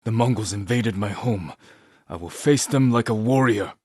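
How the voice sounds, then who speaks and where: A husky, stoic samurai. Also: male voice, lowish voice, warm tone, dry recording. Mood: resolute. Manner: measured, restrained, solemn, short clipped sentences. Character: stoic, honor-bound young samurai lord, grave and determined. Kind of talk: video game voice acting.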